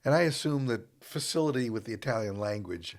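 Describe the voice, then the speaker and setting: A man hosting a podcast, asking short, measured interview questions. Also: mid-range voice, lively intonation, creaky. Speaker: podcast host.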